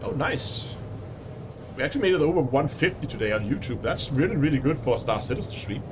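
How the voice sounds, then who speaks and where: A man's voice: medium pitch, big pitch swings, fast talker, background noise. A man with a Danish accent hosting a casual gaming livestream, chatting with viewers and laughing often. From Denmark. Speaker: livestream host.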